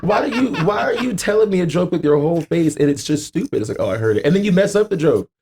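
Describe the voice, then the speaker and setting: A man's voice: fairly high pitch, lively intonation, fast talker, darkish tone, slightly rough. A man in a casual podcast chat, slangy and emphatic, telling exasperated stories with repetition and swearing. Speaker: podcast guest.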